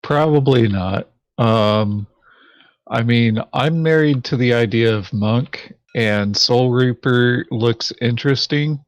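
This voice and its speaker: Unhurried man in casual chat, dry sound. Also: medium pitch, no echo. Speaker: board game player and co-host.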